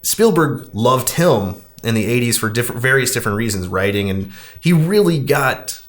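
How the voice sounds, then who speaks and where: A man's voice: mid-range voice, animated, moderate pace. A man hosting a podcast, chatting casually about movies in a rambling, conversational way. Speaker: podcast host.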